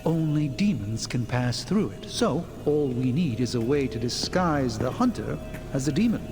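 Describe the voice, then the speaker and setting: A man playing a learned, self-assured sorcerer doctor in a video game cutscene, speaking in formal, precise, deliberate phrases. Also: mid-range voice, lively intonation, natural voice. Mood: explaining, grave. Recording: loud game music behind.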